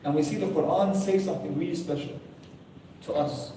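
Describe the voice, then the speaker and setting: A man's voice: a little high, a little fast, mellow, a little hiss or hum. A man giving a sermon to a room of listeners, earnest and full of rhetorical questions, now and then reciting Arabic verses. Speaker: preacher. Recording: echoey hall, distant mic.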